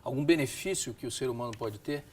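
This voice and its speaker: A man with a Brazilian Portuguese accent hosting a Bible discussion in a measured, didactic way, often seeking agreement. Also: mid-range voice, slight background noise. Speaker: host of a religious programme.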